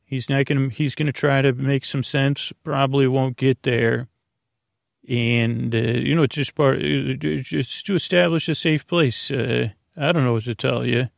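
Creaky-voiced man rambling through a bedtime monologue. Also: medium pitch, steady tone, dry recording, clean studio sound. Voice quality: creaky, gravelly. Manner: meandering, halting, often trailing off and circling back. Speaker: podcast host. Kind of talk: rambling bedtime monologue to help listeners sleep.

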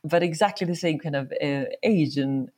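A woman with a Swedish accent conducting a conversational interview, haltingly searching for her words. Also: sits low, mellow. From born in Serbia, raised in Sweden.

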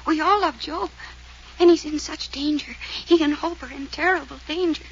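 Casting: timid, plaintive little sister, high anxious voice, 1940s radio melodrama, hissy vintage broadcast. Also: female voice, moderate pace. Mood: fearful, urgent. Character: frightened, whimpering, timid young sister. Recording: scratchy old-time radio broadcast, hissy, muffled.

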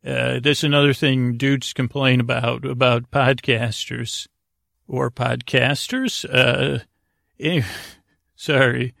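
A man hosting a bedtime podcast in a creaky, lulling voice, meandering and halting as he repeats and interrupts himself. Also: medium pitch, fairly even intonation, fairly bright tone, no room sound, clean studio sound. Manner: lulling, soothing. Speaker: podcast host. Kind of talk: rambling, improvised bedtime podcast monologue.

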